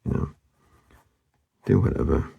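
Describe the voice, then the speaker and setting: A man narrating casually as he draws, laid-back and murmuring, with a husky, gravelly voice that often trails off. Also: unhurried, dull audio. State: losing his voice, hoarse. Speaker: artist hosting a drawing channel. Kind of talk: casual narration while drawing.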